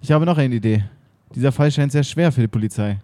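A man's voice: mid-range voice, quick pace, dark tone, dry recording. A man narrating and acting in an improvised audio drama, playful and giggly. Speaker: narrator and actor.

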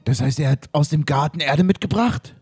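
A man playing a police chief in an improvised radio play, with a hoarse, sore voice. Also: on the high side, normal speed, a little mellow, dry recording, soft background music. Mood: eager. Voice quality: gravelly, raspy.

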